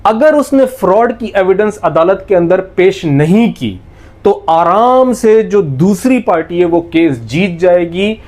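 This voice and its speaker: A Pakistani-accented man with a fairly high voice teaches in a methodical lecture style. He lays out each point step by step and restates it with emphasis, dropping English technical terms into his explanation. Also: animated, unhurried, darkish tone. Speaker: law lecturer.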